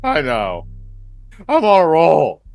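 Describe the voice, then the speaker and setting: Performer doing manic male game character, childish pleading, creaky, wildly swinging pitch. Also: huge pitch swings, slow pace, darkish tone, no echo, soft background music, exaggerated voice. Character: manic, needy, drugged-up male sculptor named Daniel.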